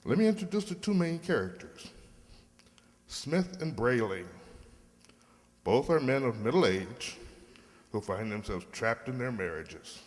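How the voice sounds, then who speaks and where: Male program host, medium pitch, formal and measured scripted introductions, recorded with long hall reverb. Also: big pitch swings. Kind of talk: scripted introduction to a live radio play.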